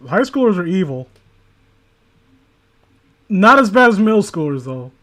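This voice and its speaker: Male story-stream host, high voice, wry and crude, loose conversational banter. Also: sing-song, a little slow, fairly bright tone. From New York City. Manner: sarcastic, joking, foul-mouthed. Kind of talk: casual banter mixed with reading aloud.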